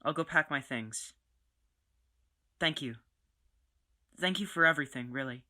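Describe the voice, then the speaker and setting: A woman playing a tired young Slight in hiding who speaks softly and tentatively, often sighing. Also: low-pitched, a little slow, exaggerated voice. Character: weary young Slight on the run. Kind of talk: amateur audio drama acting.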